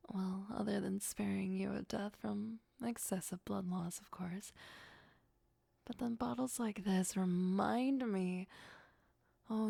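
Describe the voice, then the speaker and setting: A woman's voice: medium pitch, warm tone, no echo, heavily acted. Woman acting a whispering, obsessive vampire girlfriend. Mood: admiring, obsessive. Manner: breathy, slow, intimate, doting. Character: possessive, sweetly obsessive vampire girlfriend, playful and adoring. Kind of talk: ASMR roleplay, mostly whispered.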